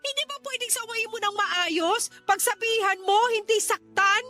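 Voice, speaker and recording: Hurt, protective wife acted by a woman, extremely high voice, reproachful, radio drama over faint music. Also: normal speed, bright, forceful tone. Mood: suspicious, confronting. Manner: pleading, reproachful. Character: hurt, protective young mother confronting her husband. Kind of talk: Tagalog radio drama acting. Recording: music behind the voice.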